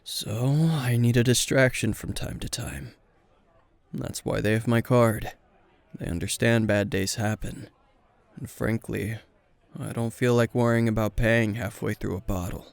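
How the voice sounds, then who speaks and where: A man playing a weary, gruff veteran hero and teacher at a bar, terse and dry, in an intimate roleplay spoken to the listener. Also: medium pitch, fairly even intonation, measured pace, no echo. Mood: downcast, brooding. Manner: terse, guarded, dry, sighing.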